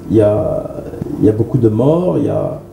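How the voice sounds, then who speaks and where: A man's voice: lowish voice, animated, a little fast. A man, a guest describing film projects, hesitant and full of fillers and restarts. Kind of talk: interview talk. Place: TV studio. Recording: echoey studio with clear background noise.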